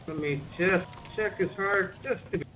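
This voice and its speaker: A man's voice: high voice, moderate pace. A man talking casually in character as a medic in a roleplay game, over noisy voice chat. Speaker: roleplay gamer playing a medic. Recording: clear background noise on game voice chat.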